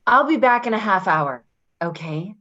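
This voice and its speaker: A woman's voice: mid-range voice, animated, moderate pace, pushed and bright, highs cut off. A woman acting a tough, wheedling, manipulative caregiver in a play reading, pushy and slangy. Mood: menacing.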